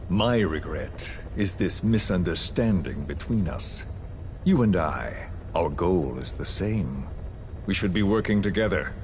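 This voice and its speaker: An actor playing a calm, scheming villain who speaks in a slow, measured and quietly threatening way. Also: male voice, low voice, soft background music. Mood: menacing. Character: cold, menacing male villain, calm and scheming. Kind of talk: game cutscene dialogue. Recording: noisy game audio recording.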